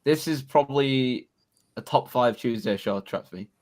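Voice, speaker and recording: A man's voice: medium pitch, moderate pace, darkish tone. A man co-hosting a music review podcast, chatting casually, swearing and rambling until he loses his train of thought. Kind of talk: casual chat.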